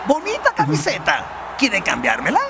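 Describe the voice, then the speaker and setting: Creaky, animated young footballer. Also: male voice, high-pitched, moderate pace, background noise, exaggerated voice. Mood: wry. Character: cocky, animated young male football player. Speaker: football player character. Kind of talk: cartoon dubbing.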